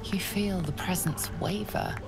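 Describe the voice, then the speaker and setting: A woman playing a solemn, ominous narrator of a fantasy game, speaking in a hushed, deliberate way. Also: fairly low pitch, lightly acted, rough recording. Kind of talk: scripted dramatic narration. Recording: loud game music behind.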